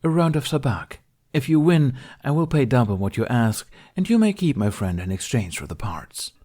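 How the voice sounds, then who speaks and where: Man playing a calm, cunning Jedi negotiator. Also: medium pitch, pitched above the performer's own voice. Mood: calm challenge. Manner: measured, polite, wry. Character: calm, cunning Jedi knight bargaining coolly.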